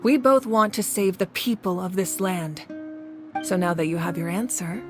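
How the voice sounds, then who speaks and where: A woman playing a composed, resolute female warrior leader in a video game, speaking in a measured, earnest and authoritative way. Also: on the low side, lightly acted. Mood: calm, sincere. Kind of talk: dramatic video game voice acting. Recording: game music behind the voice.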